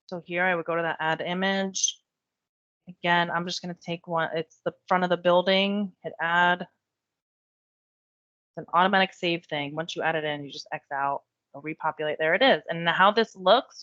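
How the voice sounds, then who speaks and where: A woman's voice: on the low side, a bit bright, dry recording. Woman losing her voice, lo-fi webinar. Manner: chatty, step-by-step explaining. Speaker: real estate software trainer. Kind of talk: screen-share software tutorial.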